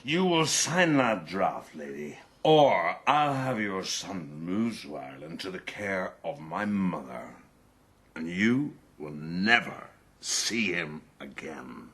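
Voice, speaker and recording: An actor playing a proud, bullying eighteenth-century gentleman adventurer in a radio drama, pompous and commanding. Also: sits low, very animated, takes it slowly, slightly rough, full character voice. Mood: angry, threatening. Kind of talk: radio drama narration and dialogue.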